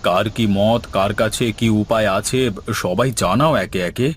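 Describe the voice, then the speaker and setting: A man's voice: on the low side, a little slow, a little hiss or hum, voice pushed down, close to an everyday voice. A man voicing an anxious, pious, kindly old village headman in cartoon dialogue, speaking in a grave, fatherly way. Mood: grave, encouraging.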